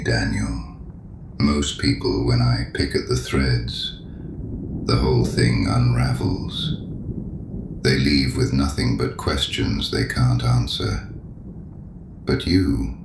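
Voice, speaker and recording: An actor playing an ancient, damned male penitent who is courteous and quietly menacing, in an audio drama, speaking slowly and with measured calm. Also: extremely low pitch, gravelly, echoey room, music in the background, heavily acted. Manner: slow, measured, quietly probing.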